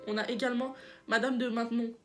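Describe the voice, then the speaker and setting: A woman's voice: fairly high pitch, level tone, fast, a bit bright, faint music behind. Congested young woman gushing over books. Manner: enthusiastic, rambling, repeats herself. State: congested from a cold. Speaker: book vlogger. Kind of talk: casual book review vlog.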